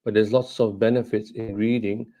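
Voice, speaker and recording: Middle-aged male scholar, Gujarati mother tongue, lowish voice, informal Q&A delivery, halting and thoughtful. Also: level tone, warm tone. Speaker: Islamic scholar hosting a question and answer session. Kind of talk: casual informal question and answer talk.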